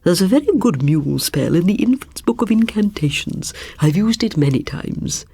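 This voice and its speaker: A woman's voice: on the low side, animated, moderate pace, gravelly, dark tone, slightly rough, dry recording, pitched above the performer's own voice, exaggerated voice. A woman voicing an eager, bumbling male court magician past his best, obliging and overconfident. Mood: beaming.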